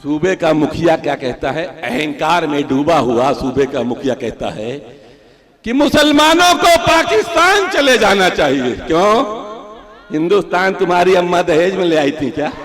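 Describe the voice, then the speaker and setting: A man giving a fiery political speech at a rally, full of rhetorical questions and bursts of shouting. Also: on the high side, expressive, normal speed.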